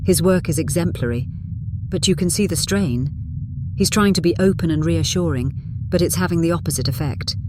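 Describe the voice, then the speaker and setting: Clear background noise surrounds a woman acting a starship first officer in a science fiction audio drama. She is frank and perceptive, with a composed, matter-of-fact delivery. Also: lowish voice, a little fast, lightly acted. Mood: concerned, frank.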